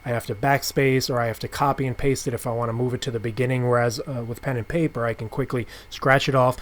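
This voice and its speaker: Male interviewer, mid-pitched voice, informal, thoughtful, explanatory, casual podcast chat. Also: fairly even intonation, fast talker. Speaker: podcast host and comic writer.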